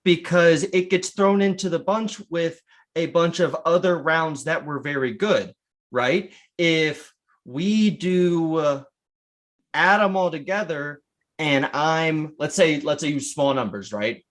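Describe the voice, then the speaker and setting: A man's voice: on the high side, slow pace, fairly bright tone. A man co-hosting a podcast, bantering casually and arguing his point. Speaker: podcast co-host.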